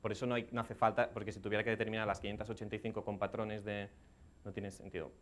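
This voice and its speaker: A man's voice: fairly low pitch, little pitch movement, fast. A man in his thirties speaking at a conference lectern, answering audience questions in a technical way and often restarting his phrases. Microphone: headset mic.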